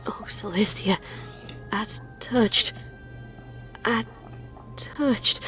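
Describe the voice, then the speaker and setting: A woman playing a disfigured pony in a slow horror monologue, haunted and obsessive, breathy and often dropping to a whisper. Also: medium pitch, sharp tone, soft background music. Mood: horrified awe. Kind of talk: slow horror monologue, scripted dramatic reading.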